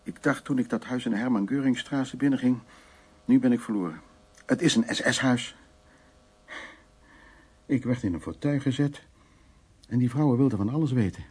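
An actor playing a guarded, teasing man in a radio drama, speaking intimately and a little haltingly with a husky, gravelly voice. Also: medium pitch, natural voice. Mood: tense recollection. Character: teasing, guarded man haunted by wartime flight. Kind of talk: naturalistic radio drama dialogue and recollection. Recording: radio play with faint music.

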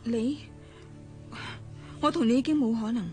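An actress playing a weary, reserved estranged wife in a Cantonese radio drama, serious and restrained, with a Hong Kong accent. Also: female voice, on the high side, unhurried, a little mellow, faint music behind, close to an everyday voice. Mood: wistful, resigned.

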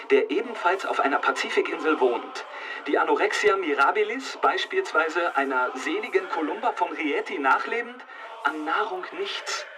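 An actor playing an educated letter-writing friend in a radio drama, reading in an elaborate, mannered, emphatic way. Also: male voice, fairly high pitch, recorded from a distance, background noise, natural voice.

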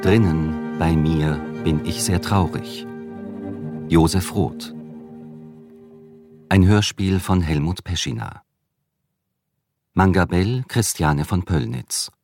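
A man reading scripted radio credits as an announcer, formal and measured. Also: low voice, music in the background.

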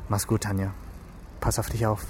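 A man's voice: fairly low pitch, flat delivery, normal speed, darkish tone, close to an everyday voice. Actor as easygoing young man, noisy ambience. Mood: relaxed, friendly. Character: easygoing, impatient young ex-cop working in a restaurant. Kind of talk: naturalistic radio drama dialogue.

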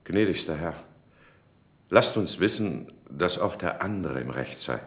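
An actor playing a grave, righteous high chancellor in a German radio drama, stately and admonishing. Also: male voice, animated, unhurried, fairly bright tone, full character voice. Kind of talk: German radio drama acting, formal oratory. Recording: roomy studio radio drama recording.

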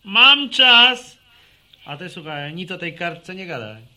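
A man's voice: high voice, expressive, slow, sharp tone, full character voice. A man playing a sceptical, grumbling young village musician in a radio drama, with nervy, dismissive retorts. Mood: irritated.

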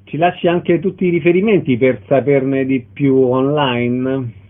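A man's voice: mid-range voice, steady tone, a little slow, narrow, tinny audio. A man presenting and interviewing as a radio host. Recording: band-limited radio sound.

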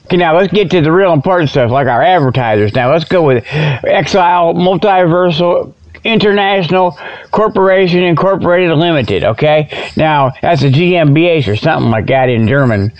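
A man's voice: fairly high pitch, normal speed. A man hosting a comic radio show with a rambling, folksy, informal monologue. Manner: folksy, informal, repeatedly tags phrases with "okay". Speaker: host. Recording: dull lo-fi radio sound.

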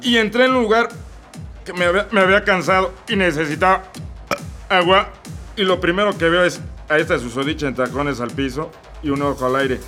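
A man's voice: high voice, steady tone, brisk, pushed and bright, faint music behind. A man playing a crude, lecherous drunk heckler in a comic radio court sketch, with a Mexican Spanish accent. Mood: protesting. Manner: leering, rowdy and crude.

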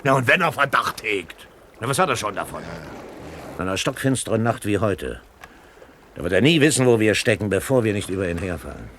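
A man's voice: on the low side, big pitch swings, brisk, pauses often, fairly bright tone, slight background noise. A man playing a brash, persuasive pirate in a German radio play, goading his shipmates with emphatic rhetorical questions. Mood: goading, eager.

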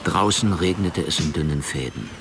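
An actor narrating a hard-boiled radio crime drama in a very deep, creaky voice, measured and dry. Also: male voice. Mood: dry, bleak. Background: music.

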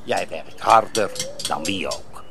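A man playing a condescending figure handing out praise in a radio drama, with a gravelly voice. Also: low voice, slow, background noise, full character voice. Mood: mock praise.

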